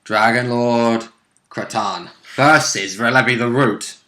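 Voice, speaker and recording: A man's voice: mid-range voice, fairly even intonation, slow, bright, forceful tone. A man casually discussing a tabletop game as one of its players. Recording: echoey room sound.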